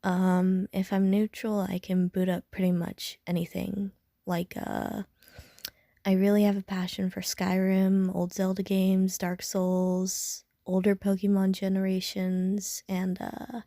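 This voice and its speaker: A woman's voice: mid-range voice, little pitch movement, a little slow, vocal fry, mellow, no echo. A woman playing a casual, slightly prickly young gamer in an audio roleplay, talking hesitantly and with dry self-mockery to the listener. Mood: relaxed, chatty.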